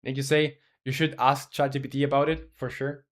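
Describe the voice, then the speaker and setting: A man's voice: medium pitch, level tone, brisk, slight background noise. A man in his twenties chatting casually on a live stream as a game developer, rambling and thinking aloud with many fillers while answering chat questions. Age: 20s.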